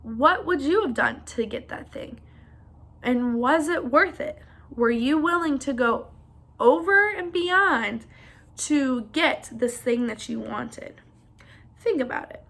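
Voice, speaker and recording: Woman doing a kids story read aloud, pretty high voice, talks to the listeners. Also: a bit bright. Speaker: children's story reader.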